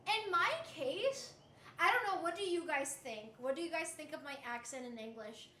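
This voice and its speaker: A woman livestreamer with a very high, bright voice talks casually to her chat in an echoey room. Her delivery is playful and expressive, with a slight Puerto Rican accent from her native Spanish. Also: normal speed, a little hiss or hum. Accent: slight Puerto Rican, Americanized. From Puerto Rico. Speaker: livestreamer reading and answering chat.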